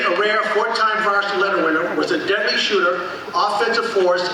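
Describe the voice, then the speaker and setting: Hoarse man emceeing in an echoey hall. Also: high voice. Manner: friendly, joking asides. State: head cold, losing his voice. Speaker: ceremony emcee. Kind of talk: ceremony emcee introductions. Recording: echoey hall from far off, noisy.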